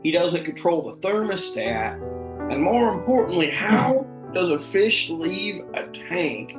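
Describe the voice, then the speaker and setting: A man's voice: sits high, big pitch swings, unhurried, roomy sound. Man as an awkward family friend over music. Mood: dry, skeptical. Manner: hesitant, placating. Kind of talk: live comedy script reading.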